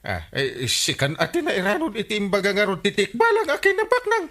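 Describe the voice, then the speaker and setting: Wildly animated, flustered village captain. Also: male voice, high voice, fairly quick, full character voice. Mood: flustered, exclaiming. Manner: exclamatory, stammering interjections. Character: excitable comic village captain, a grown man, easily flustered. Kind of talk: Ilocano radio comedy drama acting.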